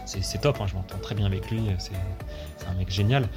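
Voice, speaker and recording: Guy late 30s w a lowish voice, chatty n keen football commentator being interviewed, repeats himself, music pretty clear behind. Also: brisk. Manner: enthusiastic, emphatic, repeats himself. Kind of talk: casual interview chat.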